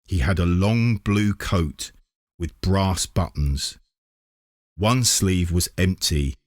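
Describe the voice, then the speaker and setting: A man's voice: sits low, slow pace, dry recording, clean studio sound. A man reading a children's story aloud as scripted narration. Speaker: reader of a children's book.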